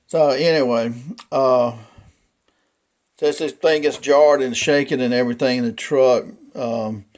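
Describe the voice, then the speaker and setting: A man with a mid-pitched voice talks through a casual, unscripted demonstration as a hobby collector. He explains things in a folksy, rambling way. His delivery is halting, as he works out what to say next. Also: fairly even intonation, darkish tone. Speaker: vintage radio collector presenting a radio.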